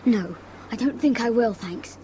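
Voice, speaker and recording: A woman's voice: a little high, unhurried, dark tone, slight background noise, natural voice, rough recording. A woman playing a doubtful, reluctant schoolboy sidekick in a children's audio drama, with polite old-fashioned slang. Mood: wary. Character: cautious, doubtful, grumbling young schoolboy sidekick.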